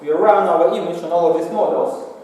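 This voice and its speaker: A man giving a technical lecture with slides in a lecture room, speaking halting, non-native English. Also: high voice, fairly even intonation, mellow. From Singapore. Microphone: far from the mouth, room pickup. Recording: echoey hall, distant mic, faint noise.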